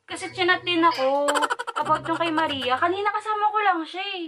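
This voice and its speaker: A young woman from the Philippines making a prank phone call for her vlog, telling a halting made-up story with lots of pauses and restarts. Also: very high voice, very noisy. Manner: hesitant, stumbling fake story, then giggly. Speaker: vlogger making prank calls.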